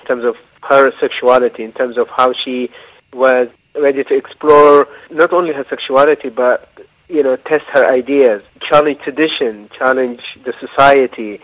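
A man from Eritrea, a novelist, answering interview questions in a thoughtful, halting way with frequent restarts. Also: mid-range voice, steady tone, a bit bright, low-quality recording. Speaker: novelist guest.

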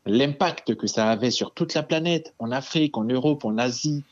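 A man from the Central African Republic who founded a game studio, calmly and earnestly telling his story in a radio interview. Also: medium pitch, normal speed, muffled recording.

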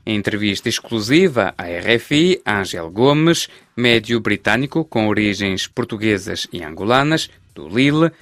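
A male radio sports reporter reads football news and questions his guests, keeping a measured, formal delivery. His voice sits on the low side. The sound is close and dry, with no room around it. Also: very animated, a bit bright, dry recording. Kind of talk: scripted news reading and interviewing.

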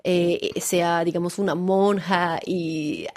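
A French woman in her thirties, an actress being interviewed on the radio, speaking Spanish with a Venezuelan-tinged accent in a chatty, relaxed way. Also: fairly low pitch, slow. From France. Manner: chatty, relaxed, often laughing, full of "digamos" and "como que". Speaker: actress and theater director, guest. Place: radio studio.

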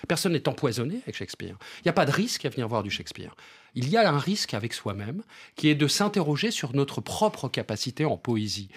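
A man who is bilingual in French and Portuguese, a theatre director speaking as a guest in a radio interview, emphatic and repeating words as he explains. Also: husky. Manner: emphatic, repeats words, lively pitch swings.

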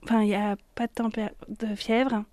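A woman's voice: medium pitch, flat delivery, moderate pace, darkish tone, no room sound. A woman giving casual spoken advice as a childcare nurse. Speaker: childcare nurse advising a parent.

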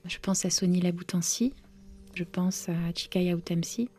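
A novelist, a woman whose first language is Romanian, answering interview questions thoughtfully with many pauses and fillers. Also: lowish voice, mellow, husky. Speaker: novelist guest.

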